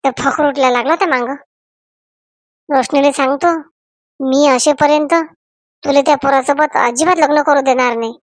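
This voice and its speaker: Woman playing a high-pitched, bossy village mother. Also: fairly even intonation, normal speed, long pauses, no room sound, natural voice. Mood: scolding, exasperated. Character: bossy, nagging village mother arranging her daughter's wedding. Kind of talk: Marathi family comedy cartoon dialogue.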